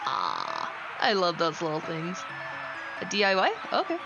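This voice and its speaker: A woman's voice: fairly low pitch, huge pitch swings, unhurried, vocal fry, lo-fi sound, over loud music. A woman chatting casually while she plays a video game, reacting with enthusiastic, gushing exclamations. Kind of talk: casual gaming chat and reactions.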